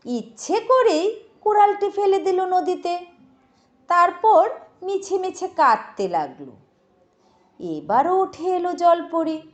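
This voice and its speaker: A woman's voice: extremely high pitch, very animated, slow, long pauses. A woman narrating a scripted children's story as a storyteller, reading aloud simply and expressively.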